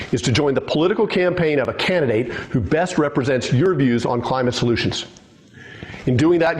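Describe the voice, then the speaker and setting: A man giving a steady, rallying scripted address to open a webinar. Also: a little high. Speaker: speaker in a recorded webinar intro.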